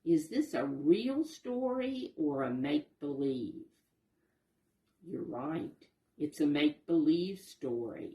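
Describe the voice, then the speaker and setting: Brief: female children's storyteller, mellow and very unhurried, patient explanations, simple questions, roomy sound. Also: sits low, lively intonation. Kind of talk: children's read-aloud lesson, addressing young listeners.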